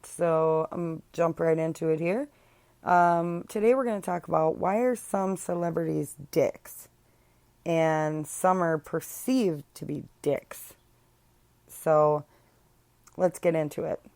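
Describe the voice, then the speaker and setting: A woman's voice: sits low, slow pace, a little mellow, no room sound. A young adult woman, hosting a casual solo podcast, blunt and chatty with many ums and sarcastic asides. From Illinois. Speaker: podcast host.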